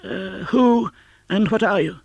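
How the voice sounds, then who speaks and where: A man voicing a nervous, miserly old man in an audiobook, stammering and agitated, with a breathy, quavering voice. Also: sits high, slow pace, no echo, pitched above the performer's own voice, full character voice. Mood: timid, fearful.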